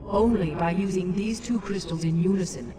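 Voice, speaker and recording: An actress playing an ancient, wise alien matriarch in a video game, speaking in formal, solemn, measured oratory. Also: female voice, on the low side, natural voice. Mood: grave, commanding. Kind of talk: scripted video game dialogue. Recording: loud music behind.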